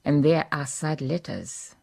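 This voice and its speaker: Woman detective, radio drama, Southern African accent, lowish lively voice, shrewd, kindly, formally polite. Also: creaky, breathy edge, no room sound, full character voice. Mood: reflective. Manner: measured, politely firm, formal. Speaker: lead actor in a radio drama. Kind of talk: radio drama acting with reflective first-person narration.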